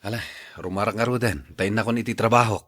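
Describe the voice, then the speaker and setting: A man acting a mild, soft-hearted working husband in a radio drama, placating and easygoing. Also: lowish voice, fairly even intonation, fast.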